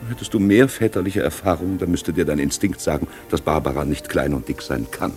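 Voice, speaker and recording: An urbane, sardonic gentleman in vintage radio drama. His voice is low, his delivery articulate and drily ironic. Music sits with the old recording. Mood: amused, sardonic. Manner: dry, ironic, measured, articulate. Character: urbane, cynical gentleman friend of a rich host. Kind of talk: 1950s German radio play acting.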